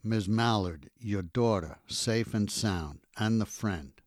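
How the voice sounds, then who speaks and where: Slow, low, menacing henchman. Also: no room sound, studio quality. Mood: menacing, flat. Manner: terse, ordering. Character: gruff, menacing henchman of a rich villainess. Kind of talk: audio drama acting.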